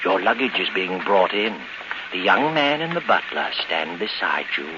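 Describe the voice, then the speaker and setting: Deep, sinister radio mystery narrator. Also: male voice, expressive, moderate pace, noisy background. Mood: mocking. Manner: ominous, theatrical, taunting. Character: sinister, mocking, all-knowing male narrator of dark tales. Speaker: narrator and host of a mystery series. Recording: muffled 1940s radio broadcast under loud music.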